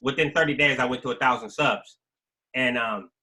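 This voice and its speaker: A man's voice: medium pitch, normal speed, pushed and bright. An American man in his forties talking casually and emphatically, telling stories in slangy, repetitive phrases. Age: 40s. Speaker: guest, YouTube creator telling his life story. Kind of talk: casual conversational storytelling.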